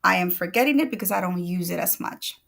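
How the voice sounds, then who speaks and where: A woman's voice: fairly low pitch, expressive, fast talker, vocal fry, a bit bright. A woman from El Salvador whose first language is Spanish, chatting casually on a video call livestream, laughing often and restarting her sentences. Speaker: guest.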